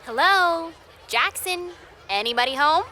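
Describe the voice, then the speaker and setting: A woman's voice: sits high, lively intonation, measured pace, bright, forceful tone, a little hiss or hum, exaggerated voice. A woman playing a sarcastic, exaggerated young time traveller in a children's puppet show. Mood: exclaiming.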